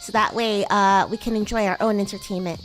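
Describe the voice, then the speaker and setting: A woman's voice: mid-range voice, steady tone, a little fast, faint music behind, lightly acted, low-quality recording. A woman voicing a bratty, sweet young demon girl in casual roleplay chat, with hesitant, girlish delivery. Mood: chatty. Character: bratty, sweet young female demon classmate from another world. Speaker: VTuber streamer.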